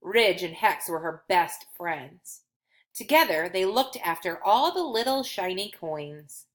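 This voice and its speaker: A woman's voice: lowish voice, animated, takes it slowly. A woman narrating a children's storybook as a read-aloud. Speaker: storybook reader.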